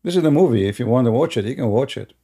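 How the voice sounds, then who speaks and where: Man, English, mid pitch, dark relaxed tone, casual commentary. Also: very fast, slightly rough. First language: Arabic. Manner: loose, talky storytelling with non-native grammar and frequent fillers. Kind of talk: casual commentary while showing a video on screen.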